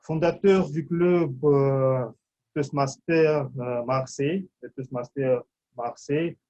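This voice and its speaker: A man hosting a speaking club meeting, introducing speakers in halting speech full of fillers. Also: medium pitch, warm tone, highs cut off. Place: meeting in front of an audience.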